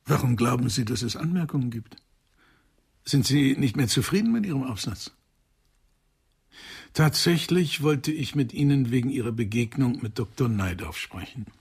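Calm probing old-school professor, super husky rough voice, deliberate formal, radio crime play. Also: male voice, mid-range voice. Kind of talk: German radio crime drama acting.

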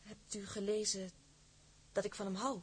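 An actress playing a timid, lovelorn young fiancée in a period drama, halting, formal and emotional. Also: female voice, mid-range voice, little pitch movement, slightly rough. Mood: nervous, guarded. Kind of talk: Dutch period radio drama acting.

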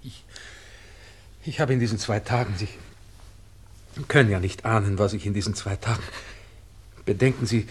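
An actor playing an awkward, guilt-stricken young gentleman in a radio drama, stammering evasively through his excuses. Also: fairly low pitch, normal speed, long pauses, slightly rough. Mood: defensive, flustered.

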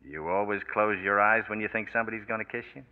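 Hardboiled American private eye, played by a man, sardonic and clipped, lowish voice, tinny old-radio sound with long reverb. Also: fast, natural voice. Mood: sardonic, needling. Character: wisecracking, tough, cynical male private detective. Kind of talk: 1940s radio noir acting and narration.

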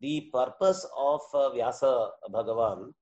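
A man with an Indian accent interviewing in a formal, scholarly way, halting and deliberate with many hesitations. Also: medium pitch, a little mellow, muffled recording.

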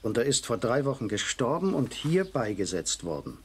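An actor playing a stubborn, suspicious and touchy husband in a radio drama, with an insistent manner. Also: male voice, medium pitch, very animated, normal speed, a little hiss or hum, close to an everyday voice. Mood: probing. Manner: insistent, irritable. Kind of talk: German radio drama acting.

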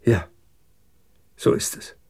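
An actor in a German radio drama playing a meek, uneasy witness, halting and defensive, with a husky voice. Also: male voice, mellow, lightly acted. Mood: subdued, defensive. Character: meek, uneasy male witness, reluctantly explaining himself.

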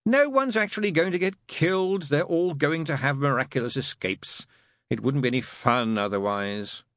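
A man's voice: fairly high pitch, moderate pace, fairly bright tone, no room sound, clean studio sound, pitched above the performer's own voice, lightly acted. A man voicing a sly, impatient male demon in an audiobook, with a sarcastic, exasperated manner. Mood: wretched, snappish.

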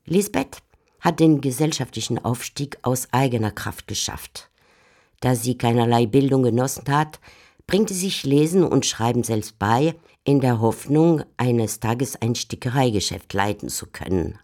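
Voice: medium pitch, animated, unhurried, darkish tone. A commentator reading a scripted literary essay within a radio production.

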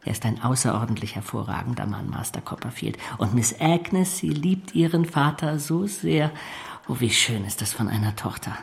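An actor in a German radio drama playing a fawning young law clerk, falsely humble, with a servile, oily manner. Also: male voice, medium pitch, expressive, normal speed, gravelly. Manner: servile, self-abasing, oily.

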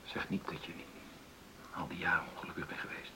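An actor in a radio drama playing an earnest, conciliatory middle-aged husband who stammers and searches for words in a creaky voice. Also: male voice, a little fast, whispered, close to an everyday voice, rough recording. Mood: hurt, hesitant. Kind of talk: naturalistic radio drama dialogue.

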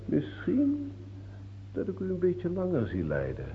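A creaky, mocking old bishop. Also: male voice, high voice, wildly swooping pitch, a little slow, heavily acted. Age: older. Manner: declamatory, sardonic, sinking into breathy murmurs. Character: toothless old imprisoned bishop, mocking, melancholic, morbidly ecstatic. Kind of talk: theatrical radio play acting. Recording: dull old radio recording, hiss and hum.

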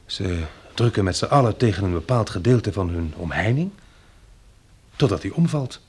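A man acting in a radio drama as a practical, sober farmer surviving a catastrophe, speaking in a measured, matter-of-fact way. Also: lowish voice, expressive, darkish tone, breathy edge. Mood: matter-of-fact, dismissive.

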